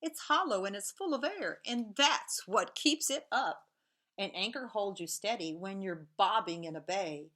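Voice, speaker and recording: A woman teacher reads a storybook aloud to young children, pausing to explain things to them. She speaks slowly and clearly, and her bright voice swings up and down in a sing-song storybook way. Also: mid-range voice, animated. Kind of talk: children's read-aloud lesson.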